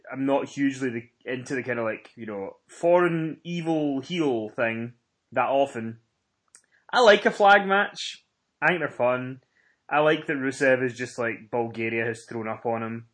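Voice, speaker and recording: A man's voice: mid-range voice, moderate pace, a bit bright. A man co-hosting a casual review show, talking in an emphatic, effusive, informal way as a fan. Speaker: podcast co-host reviewing wrestling. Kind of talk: casual chat, fan commentary.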